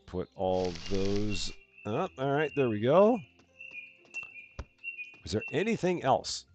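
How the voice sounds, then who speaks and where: A man with a calm, soothing voice, casually talking through a game on a live stream and thinking aloud as he plays. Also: on the low side, slow pace, soft background music. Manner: soft-spoken, rambling, thinking aloud.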